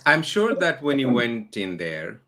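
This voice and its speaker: A man with a Bangladeshi accent and a bright, forceful voice of medium pitch hosts an interview. He talks conversationally, doubling up words and assembling each question in short pieces. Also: very animated, measured pace. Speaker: interview host.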